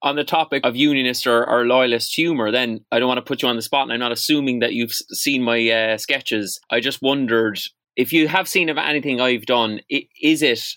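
A man's voice: medium pitch, steady tone, a little fast, few pauses, pushed and bright, no echo, studio quality. A man with an Irish accent, hosting a conversational interview. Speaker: podcast host, interviewer.

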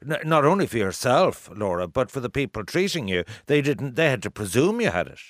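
A male radio host asks probing questions with a lively, swinging pitch. His voice is dry and close to the mic. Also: fairly quick, dry recording. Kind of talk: radio interview.

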